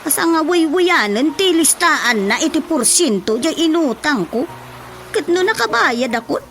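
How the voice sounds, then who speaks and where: A sassy, defiant village woman with an Ilocano accent in a radio drama comedy. Her voice is high and swoops animatedly through exclamations, over a faint music bed. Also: very animated, measured pace, fairly bright tone, exaggerated voice.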